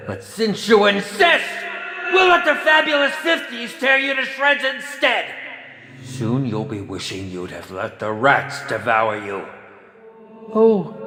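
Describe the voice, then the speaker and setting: An actor playing a sadistic, theatrical horror film director in a video game, with flamboyant delivery and gleeful menace. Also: male voice, very high-pitched, heavily acted. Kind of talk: video game villain voice acting. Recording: echoing long reverb, music behind.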